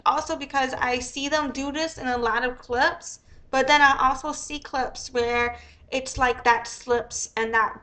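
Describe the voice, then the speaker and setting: A young woman with a squeaky voice who talks in a rambling, profane, casual livestream style. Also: pushed and bright. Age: young adult. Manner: rambling, profane, many fillers. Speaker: live streamer.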